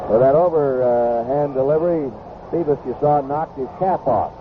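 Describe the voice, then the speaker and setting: A man's voice: mid-range voice, normal speed. A man calling baseball play-by-play on live radio in terse, clipped phrases. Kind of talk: live radio sports commentary. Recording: noisy phone-like old radio broadcast.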